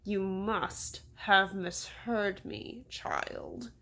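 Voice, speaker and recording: A pompous storybook mayor with a British accent, acted out by a woman reader. He drags his words out with sneering condescension and is quick to show irritation. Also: mid-range voice, a bit bright. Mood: condescending. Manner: drawn-out, condescending delivery.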